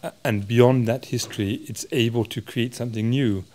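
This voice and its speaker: A man's voice: medium pitch, steady tone, moderate pace, a little mellow. A man giving a reflective interview, explaining his views earnestly and thoughtfully. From parents from South Africa. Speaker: interviewee, supporter of Rwanda. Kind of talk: reflective interview talk in English.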